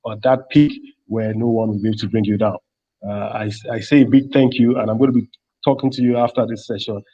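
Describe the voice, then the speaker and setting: A Nigerian-accented man gives an effusive, grandiloquent speech of thanks in a dark, mellow voice of middle pitch. His phrasing halts as he searches for words, and the online recording is dull and lo-fi. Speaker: summit host. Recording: dull, lo-fi online call audio.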